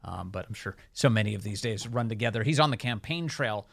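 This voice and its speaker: An American man hosting a talk radio show, giving opinionated off-the-cuff news commentary in a halting delivery. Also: fairly low pitch, animated, vocal fry, a bit bright, dry recording, clean studio sound.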